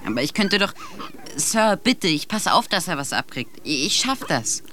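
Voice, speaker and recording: A man's voice: sits high, very animated, normal speed, sharp tone, slight background noise, heavily acted. A young man playing an eager, tender-hearted teenage boy with an earnest manner in a radio drama. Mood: anxious pleading. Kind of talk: German radio drama acting.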